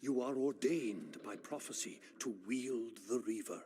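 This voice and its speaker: A man voicing an ancient vampire elder in a video game, a solemn prophet who speaks gravely and urgently in a gravelly voice. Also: medium pitch, dark tone, slight background noise, lightly acted. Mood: urgent pleading. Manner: slow, urgent, solemn, archaic phrasing. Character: ancient male vampire elder, awakened, earnest pleading prophet. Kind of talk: dramatic video game voice acting.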